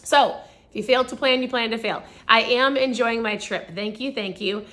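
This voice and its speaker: Woman, forties, live-stream host, hoarse, raspy, bright, fairly high voice, chatty. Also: steady tone, normal speed. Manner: chatty, upbeat, talks straight to viewers. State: hoarse from screaming. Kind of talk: casual live-stream chat.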